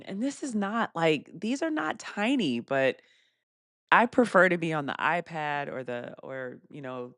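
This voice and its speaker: Woman w/ a deeper voice doing a live q&a, laughs a lot, dry close mic. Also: no echo. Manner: chatty, laughs often, reads viewer comments aloud. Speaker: host of a live Q&A stream. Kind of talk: casual live stream chat reading comments.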